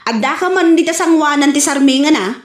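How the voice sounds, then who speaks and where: A woman's voice: high-pitched, brisk. A woman with an Ilocano accent acting in a comedy sketch, exclaiming and laughing often. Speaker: comedic actor. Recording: echoey room with music underneath.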